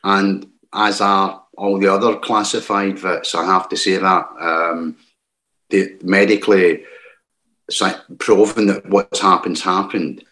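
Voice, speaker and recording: Earnest man, lowish voice. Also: level tone, bright, forceful tone. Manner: earnest, halting. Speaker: panel guest, veteran.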